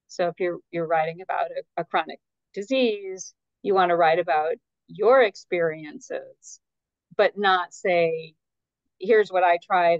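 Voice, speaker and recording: A woman, an editor guest in an interview, answering in a thoughtful, measured way with some hesitations. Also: on the low side, no echo. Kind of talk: conversational interview. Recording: dull, dry, lo-fi sound.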